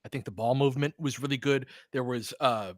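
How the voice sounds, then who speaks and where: A man hosting a live basketball watch-along, talking as an excitable, swearing fan who also analyzes the play. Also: mid-range voice, a little fast, dry recording, studio quality. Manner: profane, excitable fan talk with analytical asides. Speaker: sports podcast host and analyst.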